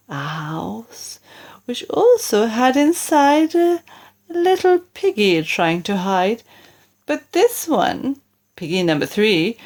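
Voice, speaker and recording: Woman, children's verse narration, higher-pitched, slow, theatrical, deliberate phrasing. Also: darkish tone. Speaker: storybook reader. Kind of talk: scripted narration of comic rhyming verse for children.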